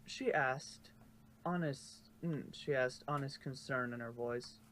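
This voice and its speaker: A man's voice: medium pitch, takes it slowly, a bit bright. A man reading a story aloud in an informal way, stumbling and correcting himself now and then. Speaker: fanfiction narrator. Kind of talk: storybook narration read aloud. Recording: noisy home recording.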